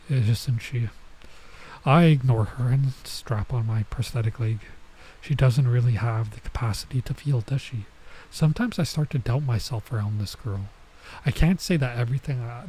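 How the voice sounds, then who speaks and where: A man's voice: mid-range voice, dark tone, slight background noise, rough recording. A man doing a let's play, reading a visual novel aloud and breaking off for casual side comments. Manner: stops to remark on and reread the text.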